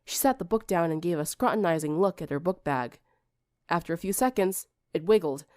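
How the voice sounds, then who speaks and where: A woman reading a story aloud as an audiobook narrator, in an expressive scripted style. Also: fairly low pitch, fairly quick, no echo, clean studio sound.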